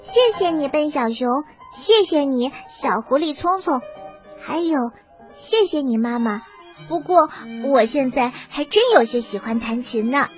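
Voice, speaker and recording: A sweet little rabbit child for a children's story. The voice is very high, gleeful and childlike, with polite manners. The recording sounds slightly dull. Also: animated, a little slow, soft background music. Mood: grateful. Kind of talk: children's audio story acting.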